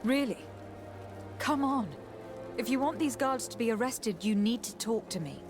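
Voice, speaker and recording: A woman's voice: on the high side, expressive, normal speed, lightly acted. A woman playing a firm, formal noble legate questioning people in a video game, in an authoritative manner. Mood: insistent. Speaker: game protagonist. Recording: music behind the voice.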